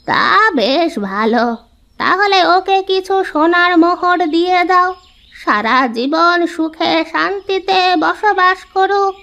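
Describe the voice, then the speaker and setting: A woman voicing a crotchety old forest granny in a cartoon, with a scolding, drawn-out way of talking. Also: extremely high pitch, bright, forceful tone, voice pushed up, heavily acted. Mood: approving.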